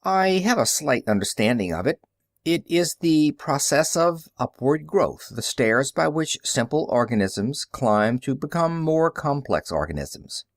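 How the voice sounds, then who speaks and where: A man's voice: mid-range voice, big pitch swings, measured pace, no echo, clean studio sound, pitched above the performer's own voice. A man voicing a young male newspaperman in an audiobook, earnest, skeptical and matter-of-fact, explaining with emphasis. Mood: puzzled.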